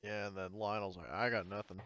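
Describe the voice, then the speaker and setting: A man's voice: on the low side, steady tone, fairly quick, a bit bright, no room sound. A man narrating a tabletop game casually as game master, on a muffled recording.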